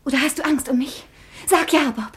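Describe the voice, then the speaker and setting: An actress playing a brave, devoted young daughter in a 1950s radio play, wistful and theatrical, with breathy delivery. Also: female voice, high voice, a little fast, lightly acted. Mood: uneasy but determined.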